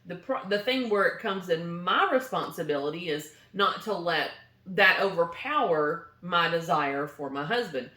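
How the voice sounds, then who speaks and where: A woman's voice: lowish voice, animated, moderate pace, bright, forceful tone, roomy sound. A woman talking casually with her husband about faith and marriage, chatty and agreeable, laughing often. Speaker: co-host, wife.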